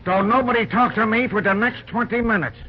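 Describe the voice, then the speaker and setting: An actor playing an eager, bossy young railroad hand who uses folksy slang and a hurried manner, in 1940s radio acting. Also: male voice, high voice, lightly acted. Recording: dull old radio recording with faint hiss.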